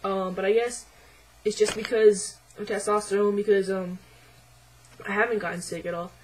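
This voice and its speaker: A man's voice: flat delivery, moderate pace, a little hiss or hum, rough recording. Young man with a changing voice. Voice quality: voice midway through deepening, a bit unsettled. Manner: hesitant, lots of ums and likes, quiet and reflective. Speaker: vlogger. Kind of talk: casual personal video diary.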